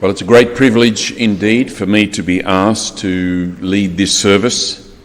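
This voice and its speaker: A middle-aged man with an Australian accent, leading a funeral service in a measured, solemn and courteous way. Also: on the low side. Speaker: funeral celebrant. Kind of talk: formal funeral address and prayer. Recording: long reverb of a large hall.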